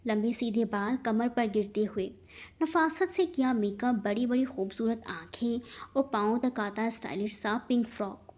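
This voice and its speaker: A woman's voice: fairly high pitch, moderate pace, darkish tone. A woman reading scripted fiction as a narrator, in a dramatic storytelling style.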